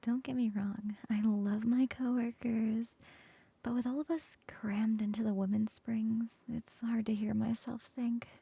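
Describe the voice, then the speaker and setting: A woman's voice: a little high, flat delivery, a little slow, vocal fry, husky, no room sound, heavily acted. A woman playing a teasing whispering coworker. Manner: soft whispering, playful teasing. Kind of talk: ASMR roleplay.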